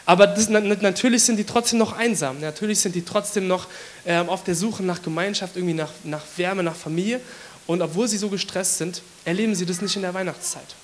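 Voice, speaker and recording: A man with a hoarse voice from a cold, giving a casual, chatty sermon to his congregation with many fillers. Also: sits high, fairly quick, a little hiss or hum. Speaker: preacher.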